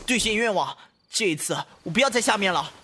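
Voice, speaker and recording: A man's voice: sits high, expressive, brisk, pushed and bright, slight background noise. A man playing a brash, flustered young man in an audio drama, whining and protesting in exclamations. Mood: flustered, indignant. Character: brash, flustered, foul-mouthed young man in an ancient-style romance. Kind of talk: Chinese audio drama acting.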